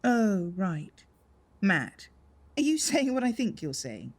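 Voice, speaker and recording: An actress playing a hesitant, suspicious, awkwardly polite shop boss in a radio soap. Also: female voice, medium pitch, very animated, takes it slowly, husky, full character voice.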